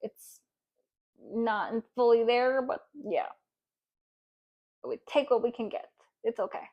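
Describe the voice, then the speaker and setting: Soft-spoken consoling woman reading tarot. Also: a little high, highs cut off. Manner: soft, consoling, halting with pauses and fillers. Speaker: tarot card reader. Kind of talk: casual tarot reading to camera.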